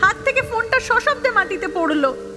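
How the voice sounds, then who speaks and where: Very high-voiced wistful ghost played by a woman. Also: expressive, normal speed, background noise. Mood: dramatic narration. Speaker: narrator and lead character. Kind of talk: dramatized audio story narration. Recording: reverberant hall sound under music. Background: prominent background music.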